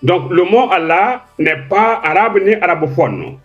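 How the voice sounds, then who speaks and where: A man's voice: high-pitched, lively intonation, a little slow, dull audio, soft background music. A man calling into a live debate, pressing his question insistently and repeating polite requests.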